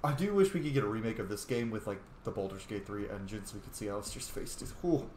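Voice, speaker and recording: A man's voice: lowish voice, animated, fast talker, a little mellow. A man giving casual, jokey playthrough commentary on a role-playing game, riffing as he goes. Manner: jokey, riffing, easily amused. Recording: roomy echo, faint noise.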